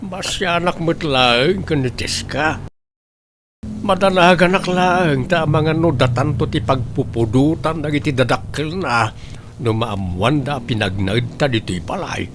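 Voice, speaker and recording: Slow-talking, cautious Ilocano father, acted by a man. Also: a little high, background noise, lightly acted. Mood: doubtful, concerned. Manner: measured, hesitant. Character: cautious father, head of a rural household. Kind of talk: Ilocano audio drama acting.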